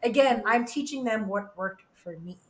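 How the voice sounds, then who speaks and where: A woman's voice: a little high, moderate pace, roomy sound. A woman in her thirties from Nigeria with an American accent, a podcast guest talking in a casual, emphatic way and often checking "do you know what I mean". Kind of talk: casual chat.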